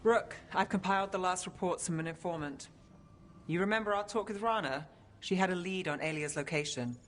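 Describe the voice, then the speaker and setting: An actress playing a guarded, wry, driven detective with a dry, clipped delivery in a game scene. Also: female voice, on the low side, brisk, a little hiss or hum, lightly acted. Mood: matter-of-fact. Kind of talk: cinematic video game acting.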